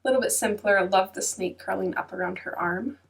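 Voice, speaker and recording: A woman's voice: medium pitch, fairly quick, vocal fry, far from the mic. Congested woman chatting a bit off-mic. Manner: chatty, many ums and hedges. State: congested, stuffy nose. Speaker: channel host. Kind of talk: casual chatty flip-through voice-over. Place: at her computer.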